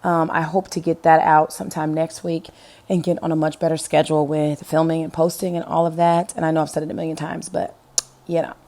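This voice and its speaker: A woman in her thirties with a hoarse, gravelly voice from being sick, chatting casually over her home decorating video and asking viewers what they think. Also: sits low, level tone, fairly quick, darkish tone. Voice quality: gravelly, husky. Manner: chatty, rambling, asks viewers questions. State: hoarse from illness.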